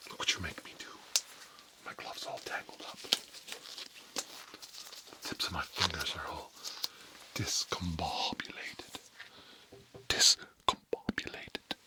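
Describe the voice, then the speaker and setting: A man playing a stern, pompous school principal in a whispered ASMR role play, scolding pupils in halting, drawn-out phrases. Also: a bass voice, fairly even intonation, low-quality recording. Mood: exasperated. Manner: pompous, halting, drawn-out, repeats words for emphasis.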